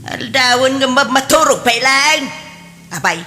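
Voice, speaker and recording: A woman's voice: high-pitched, expressive, measured pace, exaggerated voice. A woman playing a domineering, nagging middle-aged mother of a grown son in an audio drama, with an Ilocano accent. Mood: nagging, angry. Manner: nagging, sharp-tongued, indignant. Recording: long reverb, faint background noise.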